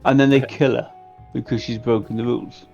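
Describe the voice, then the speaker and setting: Male game player, gravelly mid-pitched voice, conversational, on a dull lo-fi recording. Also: fairly even intonation, brisk, darkish tone. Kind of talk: casual game strategy discussion. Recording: dull lo-fi mic, faint music.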